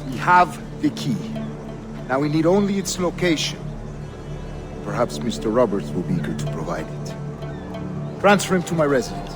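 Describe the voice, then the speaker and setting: An actor playing a commanding, calculating governor and grandmaster in a video game, speaking formally and with measured grandeur. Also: male voice, mid-range voice, sing-song, over music, natural voice. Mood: formal, satisfied. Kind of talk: video game cutscene acting.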